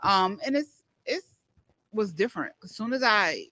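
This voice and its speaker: A woman's voice: medium pitch, lively intonation, moderate pace, pushed and bright, no room sound. A woman hosting a radio talk show, chatting casually with strong opinions and frequent laughter. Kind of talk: casual chat.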